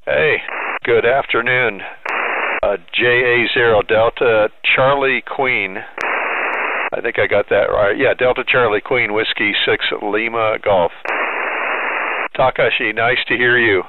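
An older American man speaking as a friendly amateur radio operator in a deliberate call-sign exchange. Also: mid-range voice, fairly even intonation, narrow, tinny audio. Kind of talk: ham radio contact, spelling out call signs. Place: small home radio shack, indoors. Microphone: handheld boom microphone up close. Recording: loud radio static, clicks.

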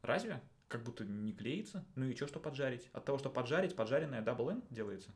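A man's voice: mid-range voice, sing-song, quick pace. Man thinking aloud in casual chat. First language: Russian. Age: 30s. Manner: questioning, colloquial. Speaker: social studies teacher. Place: small indoor studio.

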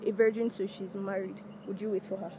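A woman's voice: mid-range voice, little pitch movement, fast talker, dark tone. A young woman with a Nigerian accent asking casual street interview questions outdoors. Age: young adult. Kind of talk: casual vox pop questioning. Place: outdoors on a university campus. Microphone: handheld device shared with interviewees. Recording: faint background noise outdoors.

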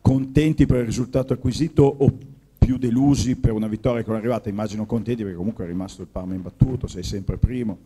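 Low husky-voiced journalist man. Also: quick pace, warm tone. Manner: analytical, building long questions. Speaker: sports journalist. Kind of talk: press conference question.

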